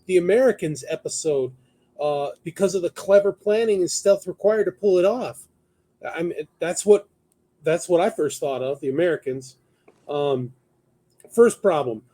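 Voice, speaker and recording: A man's voice: sits high, a little mellow. A man hosting a talk show, giving conversational news commentary partly read from notes, thinking aloud as he goes.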